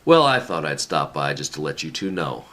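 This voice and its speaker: A man's voice: rumbling low, big pitch swings, normal speed, a bit bright, full character voice. An actor playing a jovial, patronizing older male official who reassures in an emphatic, avuncular way, in a comedic radio play. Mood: confident, smug.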